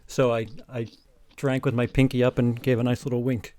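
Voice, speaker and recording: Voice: mid-range voice, little pitch movement, a little fast, a little mellow, dry recording. A podcast co-host giving casual, joking commentary on a read-aloud, with sardonic and amused interjections.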